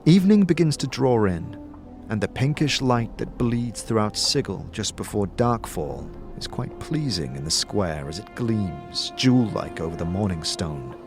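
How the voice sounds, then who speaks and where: A man narrates a fantasy tale like a game master guiding a player. His voice sits a little low and moves with animated, expressive pitch. Soft music plays underneath. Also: unhurried. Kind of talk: scripted second-person fantasy audio drama narration.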